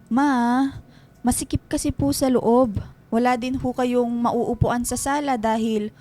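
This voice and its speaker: A woman's voice: a little high, steady tone, measured pace, warm tone, faint music behind, lightly acted. A woman playing a patient, put-upon married daughter, polite and apologetic, in a radio drama. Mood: flustered, apologetic.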